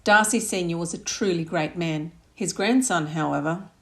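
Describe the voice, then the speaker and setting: A woman voicing a smooth, self-pitying young man in an audiobook, indignant and self-justifying. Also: fairly low pitch, normal speed, lightly acted. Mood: aggrieved.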